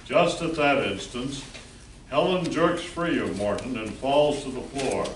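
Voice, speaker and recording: A man's voice: medium pitch, lively intonation, unhurried. A man narrating and emceeing a scripted live amateur radio play. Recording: distant echoey room, faint noise.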